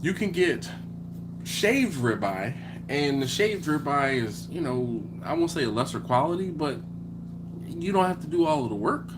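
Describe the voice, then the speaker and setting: A man's voice: on the high side, moderate pace, noisy background. Philly man chatting on a livestream. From Philadelphia. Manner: animated, acts out back-and-forth dialogues. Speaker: host of a barbecue livestream. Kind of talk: casual livestream chat reading comments.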